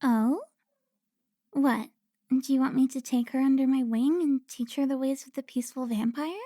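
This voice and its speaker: A woman's voice: sits high, fairly even intonation, mellow, dry recording, studio quality. A woman playing a teasing, pouty, centuries-old vampire who looks twenty, in a cinematic audio roleplay. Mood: coy, needling. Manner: playful, pouty, drawn-out teasing.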